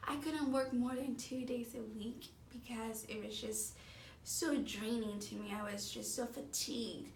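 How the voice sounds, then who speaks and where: A woman in her early thirties speaks in a fairly high voice, telling her own story earnestly and conversationally. She leans on intensifiers for emphasis. The sound is clearly echoey and a little far from the mic, with faint noise. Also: steady tone, a little slow. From born in Mexico City, grew up in the San Francisco Bay Area. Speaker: patient giving a testimonial. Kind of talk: personal testimonial, unscripted and conversational.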